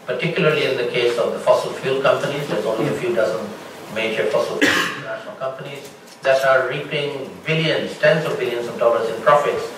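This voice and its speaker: A middle-aged man from Bangladesh speaking as a panelist, explaining his points in a measured way and building them with examples. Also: mid-range voice, noisy background. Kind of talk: panel discussion answer. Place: large lecture hall with audience. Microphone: room mic from a distance. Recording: echoey hall from far off.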